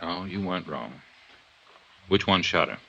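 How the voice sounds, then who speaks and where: A gruff yet fatherly police inspector in a vintage radio serial. His voice is deep with a hard, forceful edge. He talks plainly and firmly. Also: expressive, measured pace, muffled recording, heavily acted. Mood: steady, sympathetic. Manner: plain-spoken, firm. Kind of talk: 1950s radio crime drama acting.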